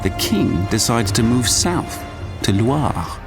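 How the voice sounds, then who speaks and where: Sober narrator over clear music. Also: male voice, mid-range voice, very animated. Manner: measured, present-tense chronicler's delivery. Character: sober male chronicler narrating a war history. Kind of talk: scripted historical narration.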